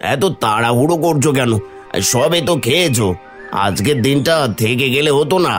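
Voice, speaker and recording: A man's voice: medium pitch, lively intonation, normal speed, faint music behind, lightly acted. A man voicing a humble, anxious, impoverished middle-aged father-in-law in a cartoon, earnest and pleading. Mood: meek concern. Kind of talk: Bengali cartoon voice acting.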